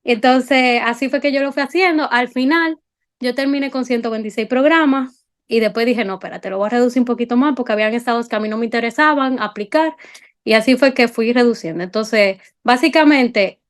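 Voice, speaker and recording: A young woman with a Dominican accent, an incoming medical resident, explaining step by step in a casual panel chat. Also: on the high side. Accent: Dominican Spanish. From Dominican Republic. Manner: explanatory, step-by-step, many fillers.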